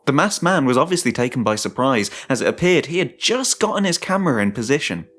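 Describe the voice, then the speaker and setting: A man giving a dramatic, suspenseful first-person narration of a horror story, in a deliberate manner. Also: medium pitch, very animated, fairly bright tone, slight background noise. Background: music.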